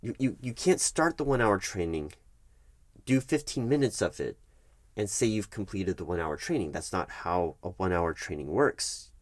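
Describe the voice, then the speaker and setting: Man playing a low-voiced, halting HR manager. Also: expressive, no room sound. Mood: firm, exasperated. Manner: formal, halting, repeats words for emphasis. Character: stern, patient male HR manager reprimanding an employee. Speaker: recruiting HR manager. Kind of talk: one-sided ASMR roleplay of a work meeting.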